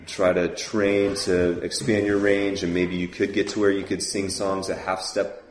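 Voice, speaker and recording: A man's voice: deep voice, little pitch movement, a little slow, roomy sound, slight background noise. A man who leads worship, talking casually and loosely about song keys, with many fillers. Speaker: worship leader teaching. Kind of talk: casual teaching talk.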